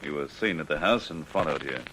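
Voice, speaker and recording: An actor playing a young police constable in an old radio crime drama, official, patient and insistent. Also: male voice, a bass voice, animated, brisk, bright, forceful tone, a little hiss or hum, full character voice, low-quality recording. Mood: calm, probing. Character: firm, unflappable young male police constable.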